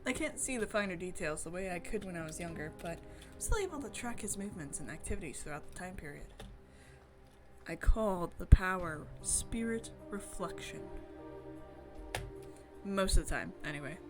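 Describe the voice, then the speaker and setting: A woman's voice: on the low side, expressive, normal speed, over music, pitched below the performer's own voice, natural voice. A woman streamer reading a visual novel voices a jaded, world-weary clairvoyant investigator narrating in the first person. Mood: somber, wry.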